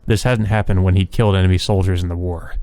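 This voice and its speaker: A man with a lowish voice narrates a visual novel. He reads the story text aloud as a let's player. The sound is dry, without room echo. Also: fairly even intonation, quick pace, dark tone.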